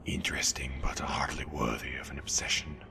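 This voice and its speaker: Whispering, abyss-deep Sith villain. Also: male voice, fairly even intonation, vocal fry, sharp tone, breathy edge, faint music behind, full character voice. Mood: cold, impatient. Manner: slow-burning threats, sardonic. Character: menacing Sith lord, impatient, commanding, sci-fi villain. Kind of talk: fan audio drama acting.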